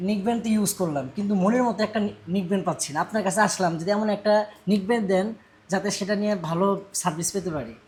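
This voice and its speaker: Female customer, fast pace, mid-range pitch, conversational ad request. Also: a little mellow.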